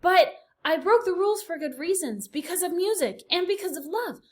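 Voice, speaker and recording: A woman voicing a small, gentle young male mouse who speaks softly and politely. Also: fairly high pitch, expressive, moderate pace, breathy edge. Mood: earnest. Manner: soft, earnest, polite.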